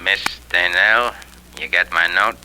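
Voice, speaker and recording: A low, cutting male voice plays a menacing crook in a 1940s radio thriller. The talk is slangy and tough, very forceful in tone, on an old broadcast recording. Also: fairly even intonation, measured pace, slight background noise, heavily acted, rough recording. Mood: cold menace. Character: menacing male crook making a threatening phone call.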